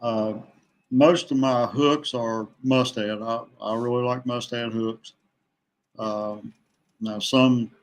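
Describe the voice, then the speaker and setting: Man, average pitch, from West Virginia, casual podcast guest, plain-spoken, thinks as he talks. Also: fairly bright tone. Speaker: podcast guest, veteran kayak angler. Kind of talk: casual chat.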